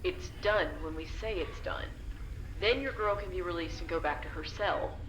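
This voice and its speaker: A woman's voice: fairly low pitch, normal speed, fairly bright tone, reverberant, slight background noise, close to an everyday voice, rough recording. A woman playing a domineering shoot director in an audio drama, curt, contemptuous and barking orders. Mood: impatient, commanding.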